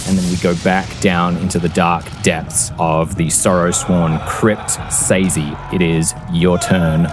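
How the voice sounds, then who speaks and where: A man's voice: deep voice, flat delivery, a little slow. A man narrating a tabletop game as game master in a theatrical, vividly descriptive way. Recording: music behind the voice. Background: music.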